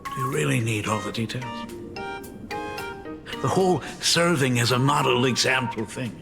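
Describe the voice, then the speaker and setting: A man voicing a doting, fretful middle-aged father and academy dean in a video game, with expressive, theatrical delivery. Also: medium pitch, normal speed, gravelly, big-room sound, music in the background, natural voice. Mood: regretful.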